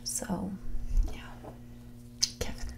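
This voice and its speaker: A woman playing a snobby, dismissive rich young woman in a whispered and soft-spoken ASMR roleplay, condescending and ditzy, saying "like" often. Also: extremely slow, noisy background. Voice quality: breathy whisper mixed with creaky soft speech. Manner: ditzy, condescending, hesitant delivery full of "like".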